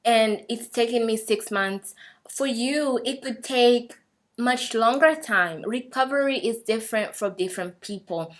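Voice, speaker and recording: A woman's voice: on the high side, a little slow. A young woman whose first language is Swahili talks casually and earnestly to the camera, encouraging listeners, with many fillers and restarts. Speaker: fitness vlogger.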